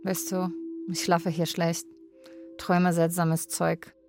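Carer lady, low flat voice, wistful, frank, radio drama, bit of music under it. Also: slow. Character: Polish live-in carer, woman, wistful and frank. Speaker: actor. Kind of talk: radio drama narration and dialogue.

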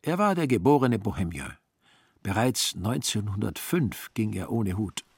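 A male narrator reads literary prose for a radio drama. His voice sits low and has a husky grain. The delivery is scripted storytelling. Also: very animated, measured pace, no echo, clean studio sound.

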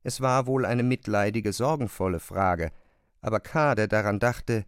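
A man reading scripted literary narration as an audiobook narrator, in a measured and precise storytelling manner. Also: fairly low pitch, clear, resonant tone, no room sound, clean studio sound.

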